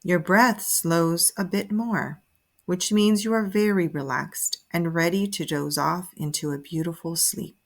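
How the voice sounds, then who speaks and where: A woman narrating a guided sleep meditation in a soothing, measured, instructive way. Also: lowish voice, fairly even intonation, creaky. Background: soft music.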